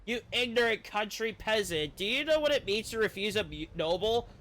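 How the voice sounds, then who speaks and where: A man's voice: high-pitched, expressive, normal speed, fairly bright tone. A man voicing a haughty, spiteful noble's servant from a fantasy game, speaking with pompous scorn. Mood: scornful, threatening.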